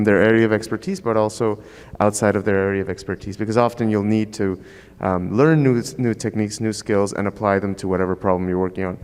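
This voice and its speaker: A man from Ecuador speaking as a research panelist, thoughtful and measured, with frequent ums. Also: fairly low pitch, darkish tone, smooth. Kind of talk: conference panel talk. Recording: reverberant hall.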